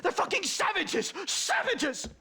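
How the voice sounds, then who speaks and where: An actor playing a high-strung academic professor in a video game, flustered, stammering and prissy. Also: male voice, wildly swooping pitch, a little slow, pushed and bright, a little hiss or hum, exaggerated voice. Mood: outraged. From Connecticut, United States. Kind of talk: video game drama acting.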